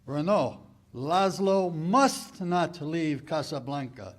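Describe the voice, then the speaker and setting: Man playing a high-voiced, menacing major. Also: lively intonation, slow pace. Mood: stern. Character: courteous but menacing German Nazi major. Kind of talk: 1940s radio acting.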